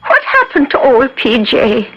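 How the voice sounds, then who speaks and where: Tart Irish matron, high animated voice, superstitious and talkative, muffled 1970s radio play. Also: female voice, a little slow, breathy edge, full character voice. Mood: tart, wary. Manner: tart, voluble. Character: feisty, superstitious Irish married woman. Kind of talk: 1970s radio drama acting. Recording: dull old radio recording.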